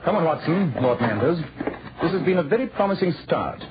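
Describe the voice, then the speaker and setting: An actor playing a brisk, wry English gentleman detective with a crisp, formal manner, in 1940s radio style. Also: fairly high pitch, big pitch swings, background noise. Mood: brisk, authoritative. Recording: muffled old radio recording, crowd-like murmur.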